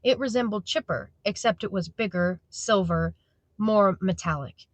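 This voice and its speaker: A woman's voice: medium pitch, moderate pace, no echo. A woman narrating a scripted audio drama as its storyteller.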